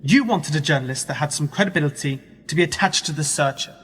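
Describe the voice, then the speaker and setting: A man's voice: on the high side, fast talker, a bit bright, slightly rough, big-room sound. An actor playing a sceptical young male journalist who probes and challenges in a radio play. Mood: indignant. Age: young adult. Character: young male journalist, sceptical, probing, indignant when used.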